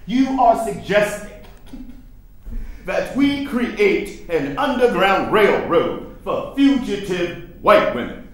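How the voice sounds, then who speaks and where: A man's voice: high voice, big pitch swings, takes it slowly, a bit bright, exaggerated voice. An actor playing a stubborn, exasperated man of firm conviction in a staged play reading. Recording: echoey theater with audience.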